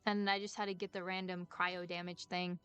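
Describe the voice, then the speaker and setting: Bright-voiced woman chatting over a game. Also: on the low side, level tone, fairly quick, faint music behind. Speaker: game streamer. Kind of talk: casual chat while playing a game.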